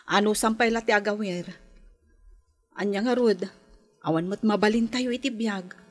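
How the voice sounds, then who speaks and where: A woman's voice: medium pitch, moderate pace, soft background music, close to an everyday voice. An actress playing a patient but firm, long-suffering wife and mother in an Ilocano radio drama, insistent and pleading.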